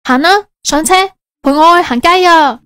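A woman's voice: high-pitched, normal speed, a bit bright, no room sound, clean studio sound. An actress playing a bossy, jealous young woman in an audio drama, commanding and defiant. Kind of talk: Cantonese audio drama acting.

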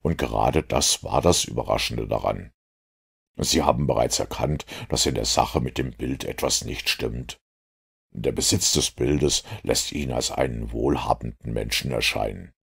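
A master detective in an audiobook, played by a male reader, with an extremely deep, gravelly voice. He lectures calmly and precisely, sure of himself and in no doubt of his conclusions. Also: full character voice. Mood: calm, knowing. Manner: measured, didactic, self-assured. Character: composed, lecturing master detective, adult man.

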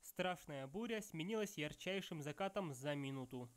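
A man's voice: fairly high pitch, lively intonation, moderate pace. A man narrating scripted nitpicking commentary with dry sarcasm. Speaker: video narrator.

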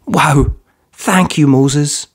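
A man's voice: on the high side, very slow, slight background noise, pitched above the performer's own voice. A man voicing a sincere, caring nine-year-old boy in a children's storybook, with an earnest lilt. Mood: hopeful wonder.

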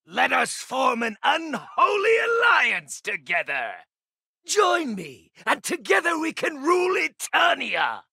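An actor playing a theatrical skull-faced evil sorcerer in a video game, shrill and raspy, with grandiose, bombastic delivery. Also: male voice, huge pitch swings, slow pace, sharp tone, no room sound, shouting, studio quality, full character voice. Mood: commanding, menacing.

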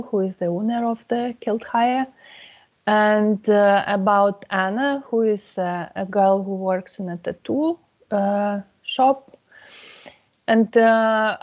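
A middle-aged woman with a Lithuanian accent, speaking English as a second language as a writer on a video call from home, pausing often with fillers. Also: medium pitch. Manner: halting, many filler pauses. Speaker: guest writer. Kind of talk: conversational interview over video call. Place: home room. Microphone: video call with earphone mic. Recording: slightly dull video call audio.

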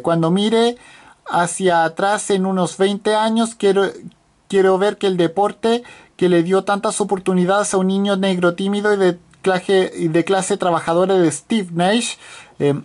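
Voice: mid-range voice, darkish tone. Hesitant host reading racing news. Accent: Spanish-speaking. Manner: hesitant, frequent fillers and restarts.

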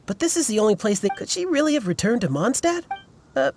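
Animated, fretful young fanboy. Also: male voice, high voice, quick pace, a little hiss or hum, full character voice. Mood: anxious, puzzled. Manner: earnest, dramatic, sighing hesitation. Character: fretful, devoted young male fan club leader. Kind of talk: video game character dialogue.

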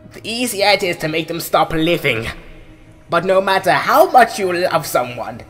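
A vengeful cartoon skeleton hero, grim and betrayed. The voice is bright and forceful, fairly high, and theatrical, with deliberate pauses over soft music. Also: male voice, heavily acted. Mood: vengeful, intense. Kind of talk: dramatic comic dub monologue. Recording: music behind the voice.

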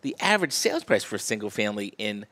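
A man's voice: on the low side, very animated, quick pace, bright, forceful tone. A man appearing as a real estate guest, giving confident, explanatory interview answers. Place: TV-style studio set.

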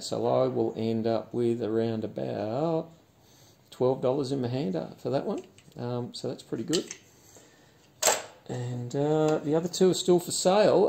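A man, talking casually and haltingly in a garage as host of his own channel. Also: mid-range voice, gravelly, dark tone. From Australia. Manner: rambling, hesitant with many fillers. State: hoarse after a night out. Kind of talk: casual vlog. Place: cluttered garage workshop.

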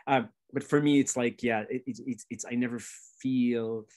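A man's voice: medium pitch, fairly even intonation, moderate pace, a little mellow. A man, talking thoughtfully on a video call from a home studio and searching for words as he goes. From Lebanon. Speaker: musician in conversation. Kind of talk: casual reflective conversation. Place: home studio room indoors.

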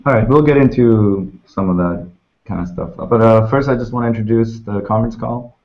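A man from Canada hosting a casual video-call interview, relaxed and hesitant with fillers. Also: lowish voice, a little fast. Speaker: interviewer, host. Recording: muffled video-call audio.

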